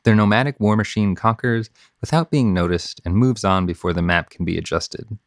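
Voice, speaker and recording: Low-voiced man reading, dry sound. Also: moderate pace, dry recording. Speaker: podcast host and reader. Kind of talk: scripted reading of essays and news with commentary.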